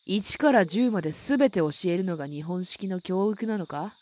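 An actor playing an enigmatic stargazing mentor in an audio drama, measured, curt and aloof, with night insects behind. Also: medium pitch, animated, close to an everyday voice. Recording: dull band-limited sound, night insects.

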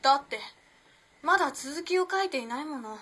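A woman's voice: high voice, lively intonation, a little fast, sharp tone. An actress playing a teasing, self-possessed, mysterious modern girl of the 1910s in a radio drama, using old-fashioned feminine speech. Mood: playful, teasing. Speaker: actor in amateur radio drama. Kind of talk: Japanese radio drama acting, storytelling. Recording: noisy background ambience.

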